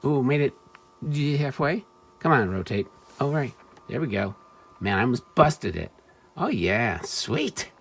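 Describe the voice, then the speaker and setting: A man giving a casual, off-the-cuff gadget demo, wry and excitable, talking to himself as he tinkers. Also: medium pitch, a little slow, gravelly, slightly rough. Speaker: vintage electronics seller demonstrating a device. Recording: music playing behind the voice. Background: music from the player being tested.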